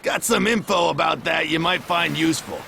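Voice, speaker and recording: A man playing a gruff, seasoned seafarer in a video game, gravelly and folksy with clipped dialect phrasing. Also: a little high, normal speed, bright, forceful tone, slight background noise, full character voice. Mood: gruff advising. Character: gruff seasoned male Norman seafarer, plain-spoken elder.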